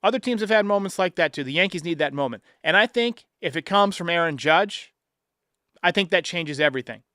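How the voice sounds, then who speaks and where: Sports talk host, male, fairly high smooth voice, blunt and emphatic, swears freely, dry close sound. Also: animated, brisk, pushed and bright, no echo. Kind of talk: opinionated sports commentary.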